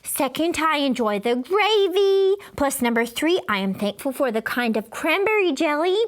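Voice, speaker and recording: A woman voicing an excitable, blunt first-grade girl in a children's storybook, eager and emphatic. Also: high-pitched, moderate pace, voice pushed up. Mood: proud. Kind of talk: children's storybook read-aloud.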